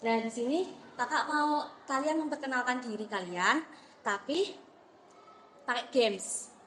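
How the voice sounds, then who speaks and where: A woman's voice: on the high side, measured pace, fairly bright tone, slight background noise. A young woman, a counseling student, leading a group guidance session in a classroom, friendly and encouraging with many fillers. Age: young adult.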